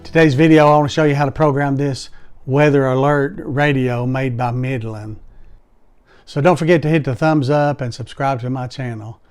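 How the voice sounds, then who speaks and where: A man's voice: medium pitch, steady tone, moderate pace, darkish tone, smooth, soft background music. A man hosting a DIY tutorial, narrating product setup step by step in a plain, methodical, instructional way. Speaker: DIY video host.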